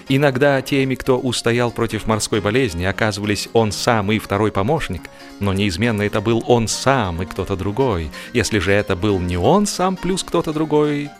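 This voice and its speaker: A man narrating a humorous story expressively, in an ironic, mock-serious manner. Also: on the low side.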